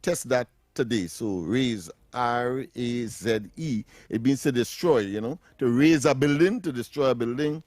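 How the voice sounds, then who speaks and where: A man's voice: medium pitch, unhurried, few pauses, darkish tone, no room sound. A middle-aged Trinidadian man co-hosting a radio talk show, explaining words with frequent laughs. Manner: laughs often, lectures on words. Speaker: radio co-host. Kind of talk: casual radio chat. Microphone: studio mic.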